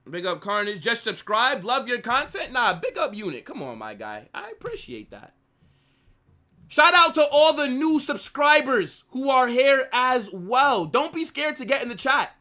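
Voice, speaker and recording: A man's voice: very high-pitched, a little slow. A man hosting a live football watch-along, chatting casually and reacting to his chat. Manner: animated banter, reading chat aloud. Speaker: live stream host.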